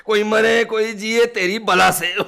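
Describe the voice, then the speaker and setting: A man's voice: high voice, fairly even intonation, measured pace, gravelly, bright, forceful tone, heavily acted. An actor playing an irritable, ailing man with a fever and cough, groaning and complaining in a radio play. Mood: exasperated, scolding. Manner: exasperated, complaining, groaning, melodramatic. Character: irritable bedridden sick man scolding his servant. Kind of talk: Hindi radio play acting.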